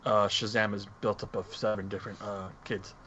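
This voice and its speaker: Male podcast panelist, casual and meandering, lowish bright voice, slightly dull recording with faint noise. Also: little pitch movement, fairly quick. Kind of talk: casual movie discussion.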